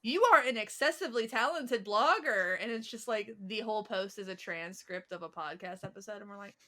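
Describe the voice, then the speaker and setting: A woman co-hosting a podcast in casual chat, teasing her co-host and talking quickly. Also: medium pitch, pushed and bright.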